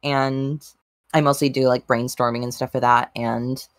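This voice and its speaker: A woman chatting casually on a group podcast in a halting, deadpan, playfully cryptic way. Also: very deep voice, dry recording, clean studio sound. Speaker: podcast panelist.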